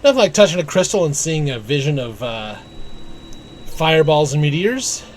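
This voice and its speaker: A man's voice: fairly high pitch, animated, normal speed, a little hiss or hum. A man giving casual live commentary on a video game, musing to himself as he plays. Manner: musing, talks to self while playing. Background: game music.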